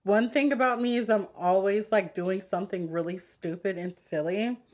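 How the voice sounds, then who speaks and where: A woman in her thirties talks in a casual, confessional vlog style. Her voice sits at a middle pitch. She is candid and conversational, speaking straight to the women watching as she gets ready. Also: normal speed, a little mellow. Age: 30s. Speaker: vlogger. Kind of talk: casual confessional chat while getting ready.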